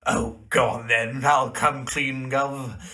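An actor playing a cheeky, rakish young gambler son in a period audio drama, with a playful, histrionic, teasing manner. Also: male voice, medium pitch, flat delivery, slow pace, bright, forceful tone. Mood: mock-innocent.

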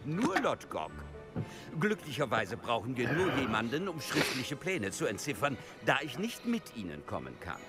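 Give me a grave, proud old goblin lord for a game, curt and distrustful, his pitch rising and falling with feeling. Game music sits clearly behind him. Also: wildly swooping pitch. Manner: stern, measured, curt. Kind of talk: German game dub.

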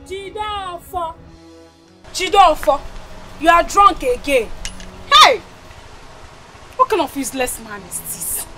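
An actress with a Nigerian accent playing a distraught village wife who calls out and wails. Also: female voice, very high voice, animated, slow, long pauses, exaggerated voice. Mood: wailing grief. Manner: wailing, shouting a name. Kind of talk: film acting. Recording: loud film music behind.

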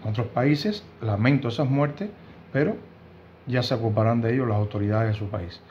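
A man's voice: medium pitch, moderate pace, lo-fi sound. Man in his fifties, Cuban Spanish accent, earnest hesitant commentary, home room, faint background hiss. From Cuba. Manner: earnest and indignant, with frequent hesitations and restarts. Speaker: host of a news commentary channel. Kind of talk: opinion commentary to camera. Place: home room with bookshelves, indoors.

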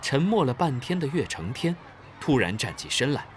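A man's voice: medium pitch, moderate pace. Guy narrating a kids story, voice swoops up and down a lot, faint street noise. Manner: calm, storytelling.

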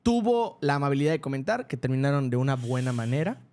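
A man's voice: mid-range voice, big pitch swings, quick pace, darkish tone. A young man with a Mexican accent, hosting a podcast, slangy and teasing, with mock-formal news delivery. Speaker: podcast host. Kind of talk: casual comedic chat. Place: podcast studio.

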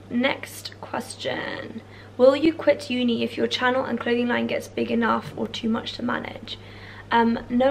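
A young English woman in her twenties, husky from a cold, chatting casually through viewer questions in a room at home. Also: a little high, a bit bright, slight background noise. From England. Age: 20s. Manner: chatty, many fillers like "like" and "um". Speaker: YouTuber answering viewer questions. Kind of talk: casual Q&A chat. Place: bedroom or living room, indoors. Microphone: camera in front, seated close.

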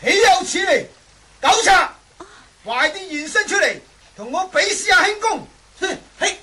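A man's voice: very high voice, very animated, measured pace, bright, forceful tone, exaggerated voice. An actor playing a lecherous, hot-tempered swordsman villain in a Cantonese radio drama from Hong Kong, taunting and blustering theatrically. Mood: furious, threatening. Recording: noisy 1980 radio drama, long reverb.

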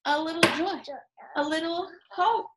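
Well-meaning storybook sea creature, read by a woman; high, perky, scolding a friend in rhyme. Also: steady tone, measured pace, very noisy. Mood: chiding, perky.

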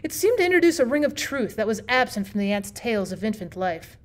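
A woman's voice: fairly high pitch, big pitch swings, quick pace. A woman reading aloud like a teacher, in scripted audiobook narration of a classic short story.

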